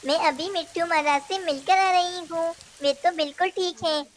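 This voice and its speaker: A woman's voice: very high voice, fairly even intonation, moderate pace. A woman voicing a small, trusting female bird in a children's cartoon, earnest, plaintive and easily upset. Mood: indignant. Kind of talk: Hindi children's cartoon dialogue.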